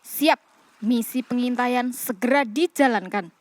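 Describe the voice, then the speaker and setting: A woman's voice: fairly high pitch, measured pace, natural voice. A woman voicing a cheeky, teasing young spy in a children's puppet play. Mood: ready.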